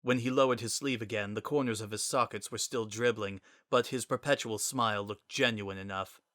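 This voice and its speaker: Low, crisp-edged male story narrator. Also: steady tone, fairly quick, fairly bright tone, no echo, clean studio sound. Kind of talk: dramatic scripted story narration.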